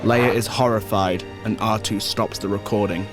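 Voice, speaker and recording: A man's voice: fairly low pitch, fairly even intonation, normal speed, over loud music. A man reading scripted narration for an audio drama.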